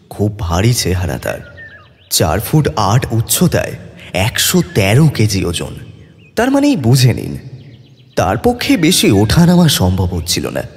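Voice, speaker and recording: Expressive male storyteller in long reverb. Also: on the low side. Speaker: story narrator. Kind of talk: dramatic scripted narration of a Bengali horror audio story. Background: clear background music.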